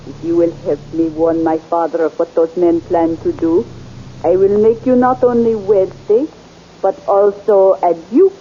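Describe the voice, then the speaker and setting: An actress playing a proud young princess in a 1930s radio serial, with a breathless, pleading delivery, in a dull lo-fi old recording. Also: female voice, on the low side, steady tone, unhurried, slight background noise, lightly acted. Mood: scheming.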